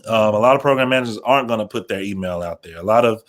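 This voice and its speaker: American guy on a panel, lowish voice, talks it through informally, lots of restarts. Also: fast, a bit bright. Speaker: radio station program manager on a panel.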